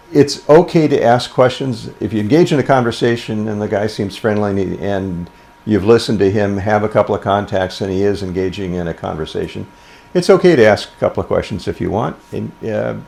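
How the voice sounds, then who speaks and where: An older man giving an informal instructional talk about a hobby, speaking plainly and with deliberate phrasing. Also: on the low side, vocal fry, a little mellow. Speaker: amateur radio presenter.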